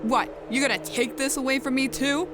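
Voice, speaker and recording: A woman's voice: high voice, fairly even intonation, moderate pace, noisy background, close to an everyday voice. A woman voicing a sarcastic, blunt, rebellious young pegasus in a dramatized story reading. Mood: bitter. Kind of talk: dramatized fan fiction reading.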